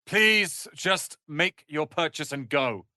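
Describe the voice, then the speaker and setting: Young apprentice wizard, proud, sardonic, clipped diction, forceful bright voice, dry close sound, dramatic fantasy game acting. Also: male voice, a little high, lively intonation, takes it slowly, no echo, heavily acted. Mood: haughty, irritated. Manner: haughty, clipped, sardonic, articulate. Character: proud, prickly young male apprentice wizard, haughty and ambitious. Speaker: video game character.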